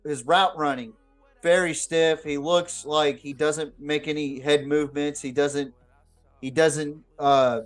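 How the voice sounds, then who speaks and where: A man hosting a casual, unscripted football podcast, talking bluntly with swearing and often repeating words as he goes. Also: on the high side. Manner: blunt, profane. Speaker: sports podcast host. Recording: music behind the voice. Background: music.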